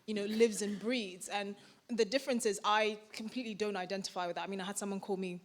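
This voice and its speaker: A young woman asking a question from the audience at a conference, candid and quick. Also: mid-range voice, steady tone, slight background noise. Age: young adult.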